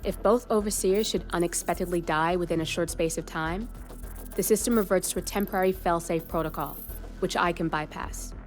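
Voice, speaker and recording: A woman's voice: fairly low pitch, a little fast, faint music behind, lightly acted. A woman playing a cool, wry intelligence handler in a video game, matter-of-fact and dry. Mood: businesslike briefing. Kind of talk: video game cutscene acting.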